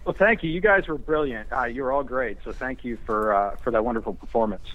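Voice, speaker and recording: A man's voice: mid-range voice, a little fast. A man speaking over a phone line as an interview guest, hesitant and self-deprecating in casual conversation. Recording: band-limited phone line.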